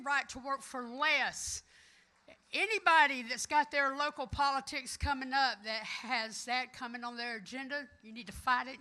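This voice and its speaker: A middle-aged woman from the American South speaks in a high, forceful voice with a Southern accent. She addresses a conference as a union tradeswoman, loud and emphatic, with a folksy, rallying delivery. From American South, Florida. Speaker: union electrician and trades council president giving a speech. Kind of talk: rousing conference speech. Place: conference hall, addressing an audience.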